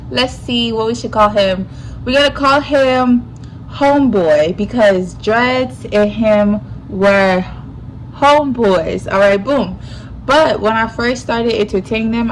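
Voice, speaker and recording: Young female vlogger, mid-pitch, stuffy-nosed, slangy and animated, faint hiss behind. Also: poor audio. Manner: chatty, animated storytelling with lots of slang. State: stuffy nose. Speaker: YouTuber. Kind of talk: casual storytime.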